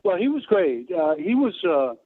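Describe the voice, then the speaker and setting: An American man of around seventy telling stories in an interview, halting and digressive, often pausing mid-sentence. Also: high voice, sing-song, a little hiss or hum. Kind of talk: conversational interview storytelling. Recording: narrow phone-like line.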